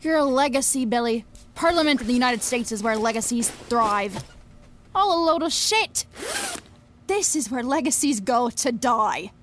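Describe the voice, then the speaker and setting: A woman's voice: a little high, animated, normal speed, slight background noise, lightly acted. An actress playing a brash, wistful young woman in an audio drama, confiding and wry, with plenty of swearing. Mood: wry, longing. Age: young adult. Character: Billie, brash, wistful young Scottish woman obsessed with fairies. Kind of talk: audio drama narration and dialogue.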